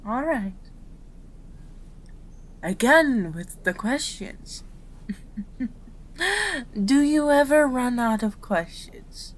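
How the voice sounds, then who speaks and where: A kind kitsune doctor, acted by a woman in an audio roleplay, speaks at an unhurried pace in a medium-pitched voice. She is caring and reassuring, talking straight to her patient. Background ambience runs under the voice. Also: slight background noise, poor audio. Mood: apologetic, concerned, then gentle, reassuring. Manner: soft-spoken, reassuring, addressing a patient directly. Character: gentle, caring female kitsune doctor, aging fox spirit. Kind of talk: ASMR roleplay voice acting.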